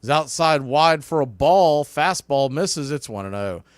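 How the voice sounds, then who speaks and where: A man's voice: a little high, lively intonation, unhurried, no echo, clean studio sound. A man calling a baseball game play by play in clipped phrases that build on big plays. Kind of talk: live play-by-play commentary.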